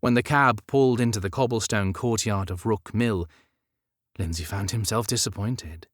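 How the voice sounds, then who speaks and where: A man narrating historical romance fiction as an audiobook, in measured, expressive storytelling. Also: lowish voice, dry recording, studio quality.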